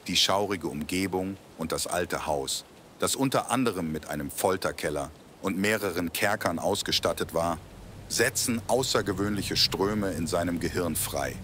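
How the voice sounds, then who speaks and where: A man's voice: sits low, moderate pace, fairly bright tone, a little hiss or hum. A man reading scripted narration for an audio drama in a storytelling manner. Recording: heavy ambient sound effects under voice.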